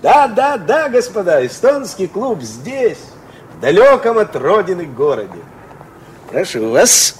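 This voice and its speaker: An actor playing an ingratiating expatriate gentleman hosting guests in a radio play, courtly and repetitive in manner. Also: high voice, sing-song, unhurried, a little hiss or hum. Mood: effusive welcome. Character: effusive, ingratiating expatriate gentleman, club host.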